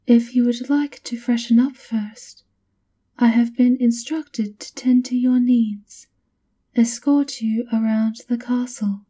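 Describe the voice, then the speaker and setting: Woman acting as a slow, whispery gothic castle maid. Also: fairly high pitch. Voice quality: breathy, whispered softness. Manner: formal, measured, polite. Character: soft-spoken, subservient, coolly formal female maid of a vampire countess. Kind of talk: ASMR roleplay, scripted and whispery.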